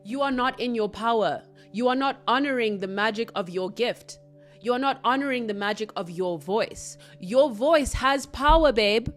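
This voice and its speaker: A woman from Africa, hosting a solo spiritual podcast, earnest and reflective with soft asides. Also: a little high, normal speed, faint music behind. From South Africa. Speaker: podcast host.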